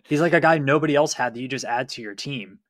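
A man's voice: mid-range voice, fast talker, a bit bright. A man co-hosting a sports podcast, giving casual, conversational analysis.

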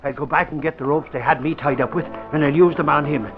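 An actor playing an excitable, blustery newspaperman in 1940s radio style, talking to himself in astonished exclamations. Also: a little high, quick pace, loud music behind the voice, close to an everyday voice. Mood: astonished, excited. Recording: muffled old radio recording.

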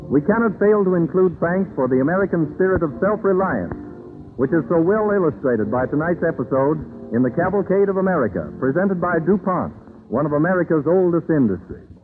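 Tinny 1930s radio announcer, high-pitched man. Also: expressive, music in the background. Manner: formal, measured, declamatory. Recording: tinny band-limited old radio broadcast.

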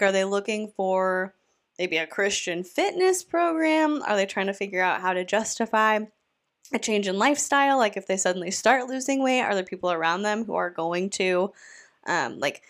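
A woman's voice: mid-range voice, fairly bright tone. A woman hosting a reaction podcast, talking back to a clip she is playing. Her delivery is snarky and incredulous, in a mid-range voice. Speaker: commentary podcast host.

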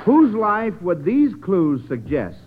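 Male radio quiz host, high lively voice, encouraging, folksy, muffled old broadcast. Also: slow. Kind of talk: 1940s radio quiz hosting with children.

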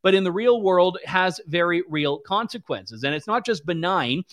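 A man's voice: high-pitched, expressive, moderate pace, fairly bright tone. A man hosting a political commentary show, talking in a sarcastic, mocking way. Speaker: host.

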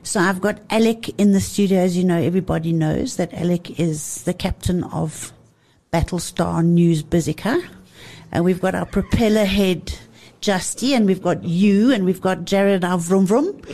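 A woman's voice: fairly low pitch, moderate pace, mellow. A woman who talks about wine on a radio show, playful and effusive, often laughing. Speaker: wine commentator. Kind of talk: lively radio chat.

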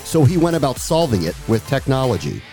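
A man's voice: mid-range voice, sing-song, brisk, music in the background. A man hosting an interview, asking questions in a conversational, reflective way. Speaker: interview host.

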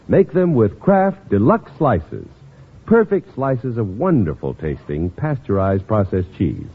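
A man's voice: medium pitch, slightly rough, phone-quality sound. A man working as a radio announcer, reading a scripted 1950s commercial and narration with a polished sales delivery. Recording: thin, band-limited old radio broadcast.

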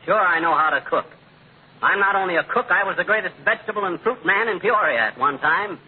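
A man's voice: high voice, fairly quick, close to an everyday voice. A man playing a boastful, bumbling husband in 1940s-style radio comedy, with a folksy, wisecracking American delivery. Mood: boastful, joking. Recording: noisy, tinny old radio recording.

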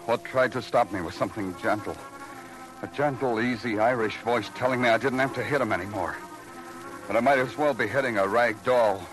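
A man's voice: mid-range voice, a little fast, natural voice, rough recording. A man playing a tough, weary big-city police detective in a 1950s radio crime drama, terse and brooding. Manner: hard-boiled, terse, brooding. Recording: old radio recording with music.

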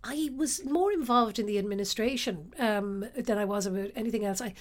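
A middle-aged Irish woman from the west of Ireland, an actress telling stories from her life in a relaxed interview, self-deprecating and quick to laugh. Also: medium pitch. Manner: warm, self-deprecating storyteller, laughs often. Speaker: actress guest.

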